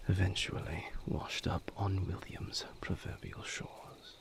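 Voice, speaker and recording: A man voices a weary male vampire in an intimate audio roleplay, speaking low and half-whispered. His delivery is slow and solemn, with halting phrases and a gravelly creak, like a lover confiding old pain. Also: exaggerated voice. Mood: somber, reflective.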